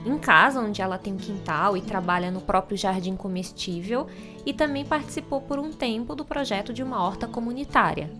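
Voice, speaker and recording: Female host, calm scripted narration over a guitar bed. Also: medium pitch, brisk. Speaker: podcast host. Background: guitar music.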